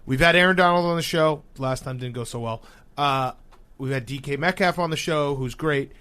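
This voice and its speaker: A man's voice: fairly high pitch, normal speed, pushed and bright, clean tone, no echo. A man co-hosting a casual sports talk podcast, joking and arguing with plenty of swearing. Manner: argumentative, joking, profane. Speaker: podcast co-host.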